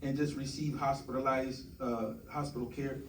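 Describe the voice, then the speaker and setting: A man's voice: medium pitch, little pitch movement, moderate pace, recorded from a distance, background noise. Man moderating in an echoey hall. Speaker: forum moderator. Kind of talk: moderating a candidate forum. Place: large event hall.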